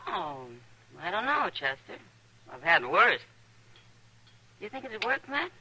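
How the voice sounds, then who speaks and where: An actress playing a wry, world-weary saloon boss in an old radio western, plain-spoken and dry. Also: female voice, medium pitch, big pitch swings, slow pace, pushed and bright, breathy edge, heavily acted, rough recording. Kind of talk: 1950s radio western acting.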